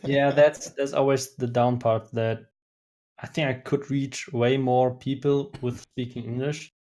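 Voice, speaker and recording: Flat-toned German-accented man. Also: medium pitch. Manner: measured, explains step by step. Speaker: guest player. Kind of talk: casual podcast chat.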